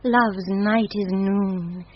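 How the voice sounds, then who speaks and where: A woman's voice: medium pitch, fairly even intonation, extremely slow. A British actress playing a proud, lovesick noblewoman, stately and yearning, in a classic radio Shakespeare play. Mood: lovestruck. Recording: dull, noisy vintage radio recording.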